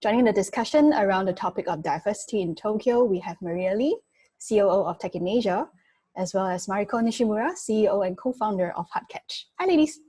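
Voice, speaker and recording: A woman's voice: mid-range voice, expressive, quick pace, mellow, breathy edge. A woman moderating an online business panel, introducing guests and asking questions in a friendly way with many fillers.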